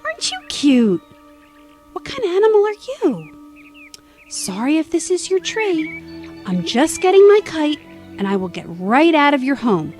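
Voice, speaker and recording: A woman plays a plucky young sidekick in an audio drama, wry and quick with a quip. Her voice sits high and moves about in a lively way, with faint music underneath. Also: heavily acted. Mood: determined, friendly. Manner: wry, playful, quippy. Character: plucky, wisecracking young female travelling companion. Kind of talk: scripted audio drama acting.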